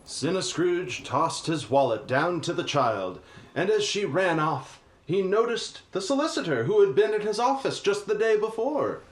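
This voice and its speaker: A man's voice: high voice, big pitch swings, normal speed. A man playing the solemn narrator of a spooky Christmas radio play, reading scripted dramatic narration. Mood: solemn storytelling.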